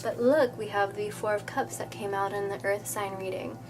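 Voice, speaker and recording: A woman with a slightly stuffy, nasal voice gives a relaxed tarot reading straight to her viewers. Her pitch sits right in the middle, and she chats warmly and reassuringly, tossing in "okay?" and "you know" as she goes. Clear music plays under her. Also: normal speed. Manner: chatty, reassuring, many fillers and "okay?". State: nasal from a cold. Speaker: tarot reader. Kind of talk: casual tarot reading to camera.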